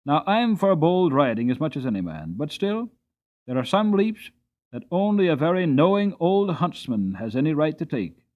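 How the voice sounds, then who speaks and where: A man doing a soft-spoken old hostler. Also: a little high, sing-song, normal speed, highs cut off. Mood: musing. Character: kindly old male stable hostler, folksy.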